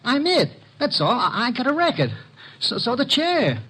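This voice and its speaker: An actor playing a nervous, stammering sneak thief with slangy, uneducated speech in an old radio detective drama. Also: male voice, very high-pitched, big pitch swings, moderate pace, pushed and bright, slightly rough, heavily acted. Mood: pleading, flustered. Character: nervous, very tall small-time sneak thief. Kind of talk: 1940s-50s radio detective drama acting.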